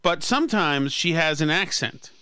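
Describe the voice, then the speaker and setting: A man's voice: fairly high pitch, animated, moderate pace, bright, forceful tone, clear, resonant tone, dry recording. An American man hosting a podcast in casual political chat, with a sardonic manner. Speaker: podcast host.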